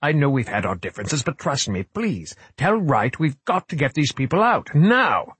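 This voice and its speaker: A man performs an older male scientist from an audiobook thriller, desperate yet commanding. He speaks emphatically and imploringly, lifting a husky voice with wide, urgent swings. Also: fairly high pitch, very animated, normal speed, fairly bright tone, dry recording, pitched above the performer's own voice, full character voice. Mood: pleading. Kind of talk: audiobook character acting.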